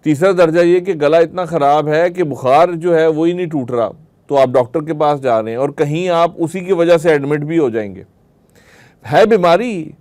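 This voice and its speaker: A man's voice: on the high side, a little mellow. A man giving a religious lecture to a group, explaining through rhetorical questions and everyday examples. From Pakistan.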